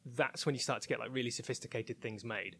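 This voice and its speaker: A man with a mid-pitched voice speaks in a dry, close recording. He talks informally as an interview guest, candidly working through his opinions out loud. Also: quick pace, vocal fry, slightly rough, no room sound. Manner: informal, candid, thinking aloud. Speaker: interview guest, technology researcher at a broadcaster. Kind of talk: conversational interview, explaining opinions.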